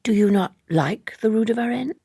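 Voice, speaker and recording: A woman playing a polite, earnest young female typist in an audiobook, inquiring and gently reproving. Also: a little high, normal speed, breathy edge, no room sound, studio quality, voice pushed up. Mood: curious.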